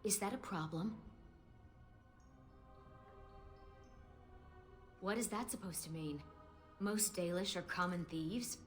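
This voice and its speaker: A woman's voice: lowish voice, normal speed, soft background music, lightly acted. An actress playing a proud, guarded young elven woman in a fantasy game, speaking earnestly and formally. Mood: sharp, defensive. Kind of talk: scripted fantasy game dialogue.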